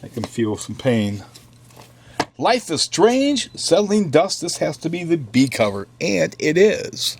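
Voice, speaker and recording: A man's voice: a little high, very animated, slow, a little mellow, a little hiss or hum. A man running a comic shop, talking casually through the week's new comics with offhand joking asides. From United States. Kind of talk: casual product run-through. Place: comic book store.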